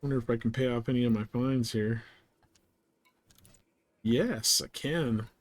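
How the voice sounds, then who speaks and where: A man streaming a game live, chatting casually and thinking out loud in a rambling way. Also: medium pitch, a little slow. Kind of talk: casual gameplay chat.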